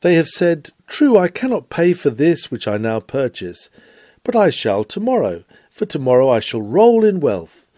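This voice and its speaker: A man reading a written sermon in an oratorical style, with dramatic emphasis and measured phrasing. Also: on the high side, very animated, darkish tone, no echo, clean studio sound. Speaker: sermon reader.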